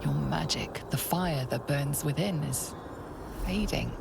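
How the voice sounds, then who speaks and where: An actress narrating a fantasy game as a grave, ominous storyteller, measured and hushed with a breathy edge. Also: female voice, low-pitched, noisy background, exaggerated voice. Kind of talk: scripted second-person game narration.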